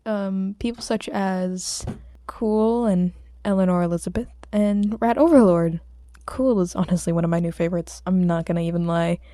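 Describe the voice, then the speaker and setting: Female hobby audiobook reader, relaxed dark tone, sore throat, informal delivery, close dry sound. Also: lowish voice, normal speed, no echo. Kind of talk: story narration and casual chat with listeners.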